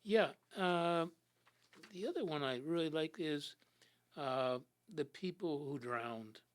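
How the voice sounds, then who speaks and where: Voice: medium pitch, slow pace. Hesitant talk show host. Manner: hesitant, stumbling restarts. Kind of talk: casual chat with a guest.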